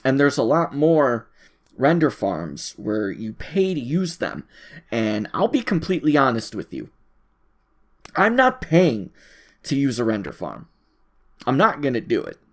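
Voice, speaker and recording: Male host for an informal audio journal, mid-range voice, loose rambling monologue, halting and repetitive as he thinks out loud. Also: medium pitch, sing-song. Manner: halting, informal, thinking aloud, repeats phrases.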